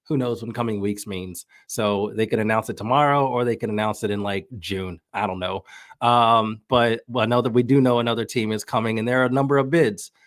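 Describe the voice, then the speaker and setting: A man's voice: fairly low pitch, fairly even intonation, brisk, dry recording, clean studio sound. A man talking casually as a podcast guest, giving opinionated soccer analysis and thinking aloud as he goes. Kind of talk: casual sports analysis in conversation.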